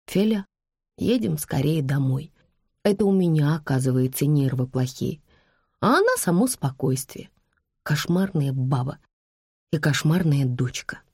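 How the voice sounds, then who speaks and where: A woman's voice: low-pitched, animated, a little slow, pauses often, darkish tone, slightly rough, dry recording, clean studio sound, heavily acted. A woman voicing a weary, wry, plain-spoken woman driver in an audiobook, in a musing, matter-of-fact manner that turns firm when fed up.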